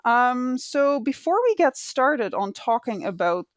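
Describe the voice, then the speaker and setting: A woman's voice: on the high side, sing-song, unhurried, no room sound, clean studio sound. A young woman hosting a podcast, reading her intro and questions in a friendly way with "um" and "like" fillers. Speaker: podcast host.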